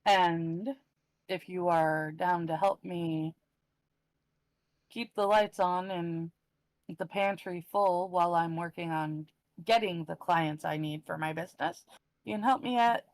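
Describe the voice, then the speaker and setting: A woman's voice: fairly low pitch, steady tone, measured pace, a little mellow, no echo, rough recording. A woman chatting casually as a panel member on a livestream. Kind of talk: casual chat.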